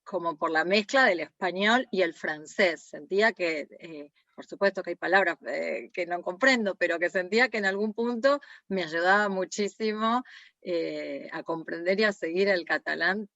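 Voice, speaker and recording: A woman's voice: fairly low pitch, normal speed, fairly bright tone, no echo, dull audio. A woman co-hosting a podcast interview, asking questions in a conversational way with hesitant fillers. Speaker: podcast co-host.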